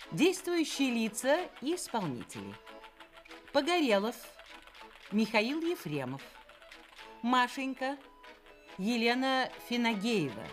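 A female radio announcer reads scripted credits slowly. Her slightly high voice moves with lively intonation over faint music.

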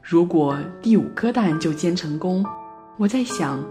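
A woman acts out a bewildered woman living in Paris, in a radio play monologue. Her voice sits fairly low but moves widely in pitch. She tells it deliberately, with theatrical swells, over music. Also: animated, dark tone. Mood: determined, reflective. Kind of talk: dramatic monologue, amateur radio play reading.

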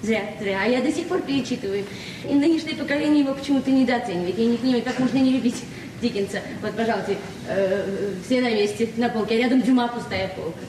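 A woman's voice: a little high, fast, close to an everyday voice. An actress in a radio play as a naive, talkative librarian in her forties, speaking hesitantly and repeating herself. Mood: chatty, earnest. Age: 40s. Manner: flustered, hesitant, chatty, repeating words. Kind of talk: naturalistic Soviet radio drama acting. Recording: echoey, distant, noisy 1970s radio recording.

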